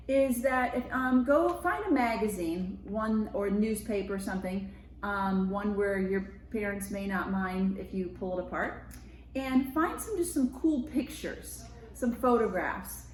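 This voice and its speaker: A woman's voice: mid-range voice, darkish tone. An American woman, a school media specialist, talking casually and directly to children and explaining activities in a read-aloud lesson. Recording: roomy echo with background noise.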